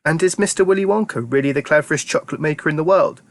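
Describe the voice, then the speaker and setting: A man's voice: a little high, rapid-fire, voice pushed up, lightly acted. A man voicing a polite, curious young boy who asks earnest questions.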